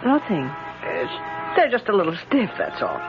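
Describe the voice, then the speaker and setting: A woman's voice: mid-range voice, huge pitch swings, moderate pace, over music. An actress playing a glum, guarded, elegant middle-aged lady in an old radio drama, reserved and hesitant. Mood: guarded, sad. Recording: muffled old radio recording.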